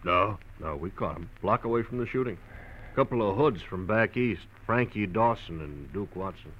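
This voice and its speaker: A dull old radio recording of an actor as an American police desk sergeant with a low voice. He is offhand and matter-of-fact, talking in casual, clipped phrases. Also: expressive, moderate pace, a little hiss or hum, lightly acted. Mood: offhand, businesslike. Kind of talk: 1940s radio acting.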